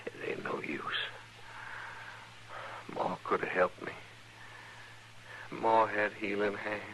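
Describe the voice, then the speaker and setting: Slow, creaky wounded outlaw. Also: male voice, fairly low pitch, flat delivery, fairly bright tone. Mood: weak, stubborn. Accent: rural American West. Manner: strained, stubborn, plain-spoken. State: in pain, groaning. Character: wounded, stubborn outlaw cowboy come home. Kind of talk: old-time radio western acting. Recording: noisy vintage radio broadcast.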